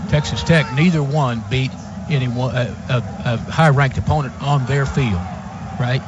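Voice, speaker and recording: A man's voice: mid-range voice, normal speed. Folksy male radio color commentator. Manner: folksy, hesitant with many fillers, ends points with "right?". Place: stadium radio booth. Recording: muffled broadcast with loud background noise.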